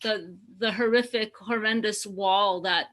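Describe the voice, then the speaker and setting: Bright-voiced woman artist, halting delivery. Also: medium pitch, steady tone. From US East Coast. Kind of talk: informal talk about own artwork.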